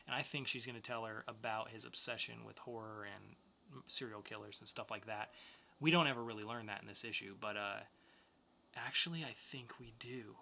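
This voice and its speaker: A man's voice: on the low side, fairly even intonation, brisk, vocal fry, pushed and bright, slight background noise. A man hosting a podcast, casually reviewing comic books with enthusiastic, loose, slangy asides. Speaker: podcast host.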